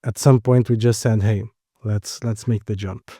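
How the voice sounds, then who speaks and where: A man's voice: lowish voice, steady tone, moderate pace, mellow, dry recording, clean studio sound. A man from Brazil with a Brazilian accent, a startup co-founder answering interview questions in short, explanatory phrases.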